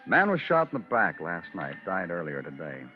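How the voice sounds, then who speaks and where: Low-voiced world-weary radio detective. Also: male voice, huge pitch swings, moderate pace. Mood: probing. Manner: clipped, cool, poetic when narrating. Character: terse, world-weary male police lieutenant detective. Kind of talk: old-time radio crime drama acting. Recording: muffled old radio recording, music behind.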